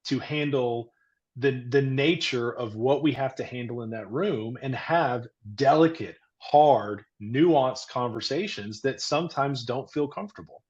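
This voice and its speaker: Loud, booming man with a dull sound. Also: a little slow. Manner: earnest, explains with everyday comparisons. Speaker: therapist, guest. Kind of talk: casual podcast chat.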